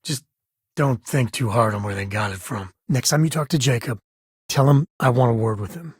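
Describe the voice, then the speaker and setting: A male actor plays a roguish frontier spaceman for a video game. His voice is husky and sits at a middle pitch, recorded close and dry. He talks in a folksy, wry, plain-spoken way, like a battle-worn ranger. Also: moderate pace, no room sound, natural voice. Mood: wry, easygoing. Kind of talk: scripted video game voice lines.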